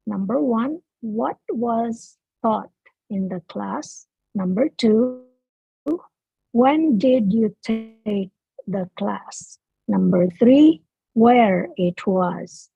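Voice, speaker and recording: A woman with a husky, slightly gravelly voice reads speaking test prompts slowly and deliberately. The video call audio is muffled and dull. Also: medium pitch, no room sound. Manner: measured, repeats instructions. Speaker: IELTS speaking practice examiner.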